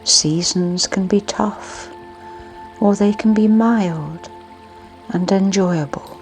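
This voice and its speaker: Very slow-speaking woman guiding sleep meditation. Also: mid-range voice. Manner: slow, soothing, hushed delivery with long pauses. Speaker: guided meditation narrator. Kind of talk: guided sleep meditation, scripted narration. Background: music.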